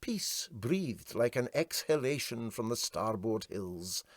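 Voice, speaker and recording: Male Scottish storyteller for literary comic fiction, unhurried delivery, very lively intonation. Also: medium pitch, dry recording, clean studio sound. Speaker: storyteller, audiobook reader.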